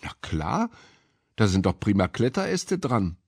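A man's voice: fairly low pitch, big pitch swings, measured pace, slightly rough, no echo, studio quality, heavily acted. A man voicing a small, playful, eager girl in a children's storybook.

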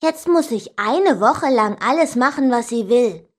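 Frau spielt empörtes Kind, sehr lebhafte Satzmelodie. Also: female voice, fairly high pitch, measured pace. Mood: dismayed, grumbling. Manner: expressive, emphatic, addressing the listener directly. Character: indignant young child telling tales about an older sister. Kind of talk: scripted children's story narration.

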